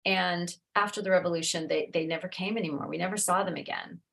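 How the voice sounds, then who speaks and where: A woman's voice: on the low side, steady tone, quick pace, creaky, a bit bright. A middle-aged woman author from the United States, speaking earnestly and reflectively in a casual interview.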